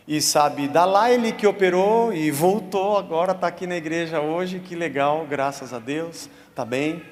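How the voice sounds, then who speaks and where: A Brazilian man, a pastor, delivering a conversational sermon in a church, mixing Scripture with casual slang. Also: medium pitch. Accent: Brazilian Portuguese. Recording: long church reverb.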